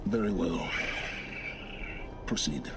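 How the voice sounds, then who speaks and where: An actor voicing a curt, secretive middle-aged wanderer and scholar in a video game, with measured, erudite and condescending delivery. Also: male voice, deep voice, music in the background. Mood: curt, impatient. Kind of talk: video game dramatic dialogue.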